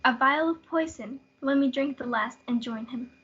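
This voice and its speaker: Casting: desperate, heartbroken teenage girl played by a female student, very high voice, school play reading, lo-fi audio. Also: moderate pace, fairly bright tone, husky, exaggerated voice. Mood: desperate resolve. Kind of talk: school reader's theatre performance. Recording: dull lo-fi audio.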